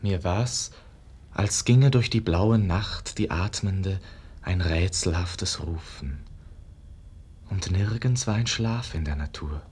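An actor playing a dreamy, rapturous young painter's disciple, declaiming verse in a hushed, lyrical way in a 1950s radio drama. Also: male voice, sits low, slow, husky, exaggerated voice. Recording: muffled-edged 1950s radio play recording.

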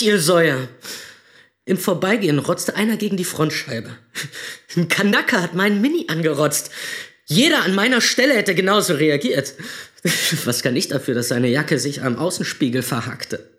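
A man's voice: a little high, a bit bright, natural voice. An actor playing a cowardly, aggressive young band musician telling his story in crude slang in a radio drama monologue. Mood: angry, defensive. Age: young adult. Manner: slangy, crude, self-justifying.

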